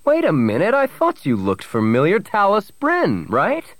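A man voicing an eager, well-off gentleman, gushing and courteous, in a story. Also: high-pitched, huge pitch swings, measured pace, dry recording, pitched above the performer's own voice, heavily acted. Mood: excited. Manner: effusive, courteous.

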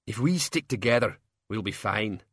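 A male narrator acts a gang leader with a short fuse in an audiobook. He sounds gruff and bossy, with pitch that moves about, recorded dry. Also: animated, normal speed, a bit bright, dry recording, heavily acted. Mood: firm, strained. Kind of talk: audiobook character acting.

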